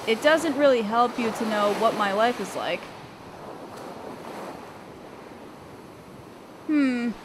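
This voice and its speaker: Woman voicing a high, prickly young selkie. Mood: softening, sympathetic. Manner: aloof, hesitant, teasing, softening into curiosity. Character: young female selkie, guarded, prickly but curious sea creature. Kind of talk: scripted roleplay audio drama addressed to the listener. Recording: ocean waves and wind behind voice. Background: surf, waves and wind sound effects.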